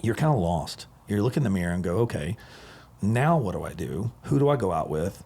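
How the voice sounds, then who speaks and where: A low, husky man in his forties hosts a relaxed podcast chat. He tells long stories with eager enthusiasm and winds from one thought to the next. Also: a little fast. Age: 40s. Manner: enthusiastic, rambling storyteller. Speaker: podcast host. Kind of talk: casual chat.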